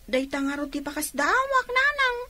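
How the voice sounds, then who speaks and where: A young filipino ilocano daughter, really high voice, polite n questioning, radio drama, dry sound. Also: female voice, sing-song, normal speed, bright, forceful tone, dry recording, full character voice. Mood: questioning, hurt.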